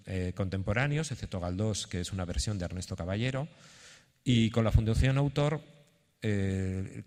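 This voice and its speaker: A man giving an informal conference presentation in a halting, explanatory way, as if thinking aloud. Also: fairly low pitch, a little mellow. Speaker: theatre institution representative presenting a project. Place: conference hall. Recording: echoey hall with long reverb.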